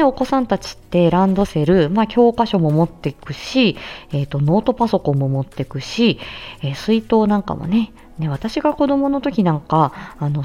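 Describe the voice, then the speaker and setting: A woman talks on her own in a casual, explanatory way. Her voice is fairly low and mellow, with very lively swings in pitch. She speaks emphatically, as if checking that the listener follows, on a slightly muffled recording. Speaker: speech therapist, audio show host. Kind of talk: casual explanatory solo talk.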